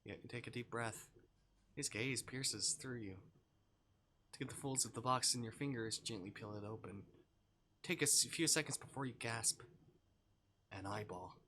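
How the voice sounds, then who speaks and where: A man's voice: medium pitch, normal speed, pauses often, sharp tone, a little hiss or hum. A man live streaming, reading a visual novel aloud and breaking off for informal, jokey asides. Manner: informal, jokey, swearing, reacting to the story.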